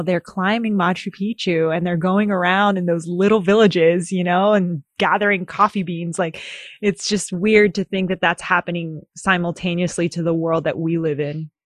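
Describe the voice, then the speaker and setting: A woman's voice: fairly low pitch, dry recording, clean studio sound. Bubbly woman host from the Philippines. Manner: bubbly, laughs often, many restarts. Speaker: interviewer. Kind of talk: casual interview.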